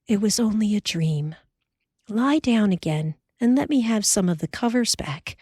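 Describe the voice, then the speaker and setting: A woman reading aloud who voices a calm, practical frontier mother in a raised, soft, sleepy voice. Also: mid-range voice, measured pace, breathy edge, no echo, clean studio sound. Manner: soft, sleepy, then alarmed.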